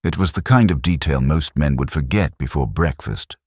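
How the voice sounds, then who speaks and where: A man narrating a scripted first-person detective story in a measured, reflective, literary manner. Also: very deep voice, breathy edge, no room sound, clean studio sound.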